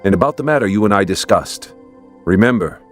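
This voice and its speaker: A man plays an earnest young prince in a scripture audio drama. His fairly low voice moves widely and expressively as he speaks with solemn, emphatic loyalty. Soft music sits behind him. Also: animated, brisk, lightly acted. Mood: earnest instruction.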